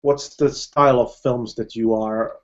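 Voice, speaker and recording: A man hosting a live video-call chat, talking in a halting way with many restarts and easy laughs. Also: mid-range voice, fairly even intonation. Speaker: film director hosting a live video hangout. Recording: dull lo-fi video-call audio.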